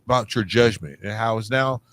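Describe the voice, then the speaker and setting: A man's voice: on the low side, brisk, fairly bright tone, a little hiss or hum. Casual American man hosting a podcast. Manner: informal, colloquial. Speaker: podcast host. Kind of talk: casual conversational interviewing.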